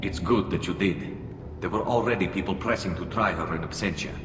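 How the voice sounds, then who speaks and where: Male alien captain played by a man, low-voiced, grave, dutiful, formal; game drama in a reverberant space. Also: background noise, lightly acted. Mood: courteous. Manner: formal, measured. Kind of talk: video game voice acting. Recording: echoey hall, music underneath.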